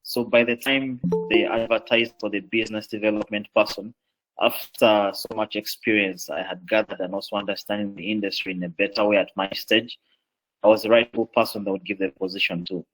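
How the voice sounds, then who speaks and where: A man's voice: on the low side, steady tone, brisk, a bit bright, poor audio. A man in his late twenties with a Ugandan accent, introducing himself as a panelist. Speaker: panelist, aviation business development manager.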